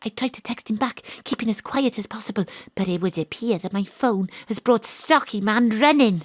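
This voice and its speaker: A woman's voice: fairly high pitch, moderate pace, dry recording, lightly acted. An actress playing a wry, self-regarding detective, telling her own story in an audio drama. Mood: tense, wry. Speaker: actor narrating an audio drama. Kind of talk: first-person dramatic narration.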